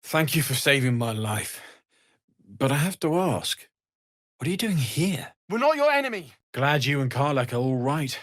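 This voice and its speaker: Young tiefling smith in a video game, kind n earnest, gentle measured talk, mid pitched voice. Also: male voice, very animated. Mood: relieved, glad. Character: earnest, kindly young male tiefling blacksmith. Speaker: voice actor. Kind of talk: video game character dialogue.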